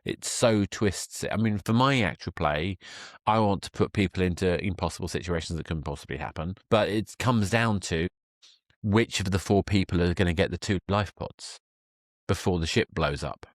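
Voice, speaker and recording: A man's voice: deep voice, normal speed, no room sound, studio quality. A man hosting a conversational podcast interview, speaking in an enthusiastic, affirming manner. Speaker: podcast host interviewing a guest.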